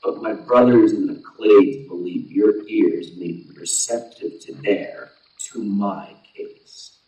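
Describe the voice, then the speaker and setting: A man playing a proud, restrained alien warrior envoy in an audio play, speaking in formal, measured, deliberate phrases. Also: lowish voice, warm tone. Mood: formal, guarded. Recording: roomy echo, distant from mic.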